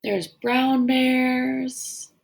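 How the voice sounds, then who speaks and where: A woman teacher doing an educational read-aloud for children, explaining as she reads and pausing to wonder aloud and ask questions. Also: sits high, flat delivery, gravelly. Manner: explanatory, pauses to wonder aloud and pose questions to young listeners. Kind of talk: educational read-aloud of a children's nonfiction book with think-aloud comments.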